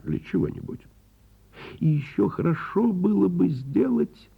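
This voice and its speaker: Man voicing dreamy wizard, whimsical, very drawn-out words, wildly swooping pitch, radio fairy tale, wondering. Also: vocal fry, phone-quality sound, full character voice. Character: dreamy, whimsical male wizard musing aloud.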